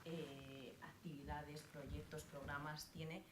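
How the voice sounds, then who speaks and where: A woman's voice: deep voice, flat delivery, takes it slowly. A woman moderating a colloquium, introducing the next topic with many fillers. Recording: echoey room with clear noise.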